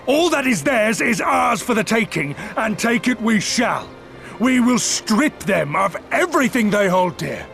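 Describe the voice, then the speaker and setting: A man's voice: high voice, big pitch swings, unhurried, gravelly, over music, heavily acted. A man playing a proud, fiery pirate captain in a video game, declaiming with defiance. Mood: rousing, defiant. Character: fiery, proud male pirate captain rallying his crew. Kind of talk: video game dramatic acting.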